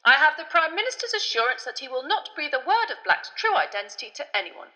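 Woman as a blustering, high-strung government minister. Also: sing-song, a little fast, sharp tone, long reverb tail, full character voice. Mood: irritable, defensive. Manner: pompous, blustering. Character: irritable pompous male government minister, flustered and defensive. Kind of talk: audiobook character voice.

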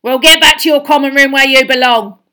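A woman's voice: high voice, brisk, sharp tone, voice pushed up. A woman voicing a suspicious, nasty male school caretaker, snarling and spitting out accusations. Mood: spiteful accusing.

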